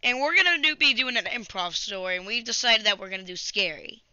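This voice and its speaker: A young gamer hosting a casual video, chatting and improvising a story in a halting, rambling way. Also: mid-range voice, lively intonation, pushed and bright, clear, resonant tone. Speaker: video host and player. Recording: dull lo-fi webcam-grade audio.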